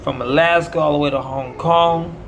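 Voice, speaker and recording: A man's voice: fairly high pitch, fairly even intonation, moderate pace, a crowd in the background. An American man delivering a rambling monologue in short, declamatory, preacher-like phrases. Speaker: self-promoting musician.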